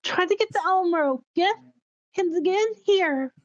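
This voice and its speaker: A woman's voice: extremely high pitch, measured pace, dull audio. A woman talking casually as a player in a tabletop role-playing game.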